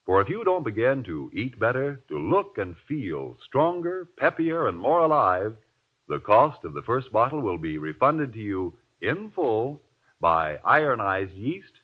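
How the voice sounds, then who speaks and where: A man's voice: mid-range voice, very animated, measured pace. A man working as a 1940s radio announcer, with polished, emphatic salesmanship in his delivery. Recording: tinny narrow vintage radio recording.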